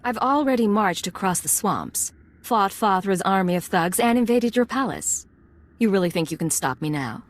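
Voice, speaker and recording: A woman's voice: mid-range voice, lively intonation, moderate pace, lightly acted. An actress playing a confident young female imperial agent in a video game, cool and controlled. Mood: stern, threatening. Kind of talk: video game character dialogue.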